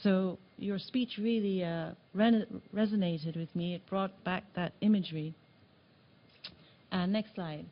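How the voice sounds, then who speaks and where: A woman from Tanzania presenting a report at a meeting, speaking earnestly with some halting restarts, in a meeting room. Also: fairly low pitch, highs cut off. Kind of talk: conference presentation.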